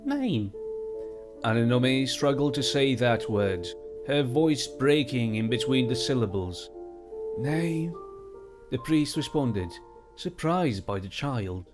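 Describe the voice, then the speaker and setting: A man reading a fantasy story aloud in a measured, even storytelling voice. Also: mid-range voice, over music. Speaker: story reader.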